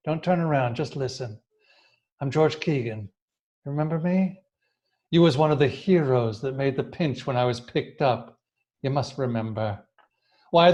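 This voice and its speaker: A man playing a rough, cocky escaped killer with threatening street-tough slang, in old-time radio crime style. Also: a little high, a little mellow, dull audio, close to an everyday voice.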